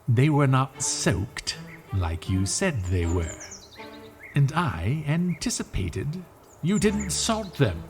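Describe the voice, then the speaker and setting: A man's voice: mid-range voice, full character voice. A man playing an indignant, wordy adventurer with a theatrical, sarcastic manner in a fantasy audio drama. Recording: faint music behind, birdsong.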